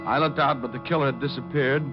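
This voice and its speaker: An American actor playing a tough, wry, wisecracking private detective in an old-time radio drama. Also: male voice, medium pitch, steady tone, fast talker, lightly acted. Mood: wry narrating. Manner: wry, hard-boiled, wisecracking. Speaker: lead actor and first-person narrator. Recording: muffled vintage radio, music behind.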